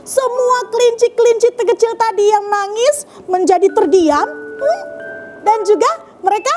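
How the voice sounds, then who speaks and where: A woman's voice: very high-pitched, a little slow, darkish tone. A woman storyteller addressing young children, narrating in an interactive way and checking in with her listeners. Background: music.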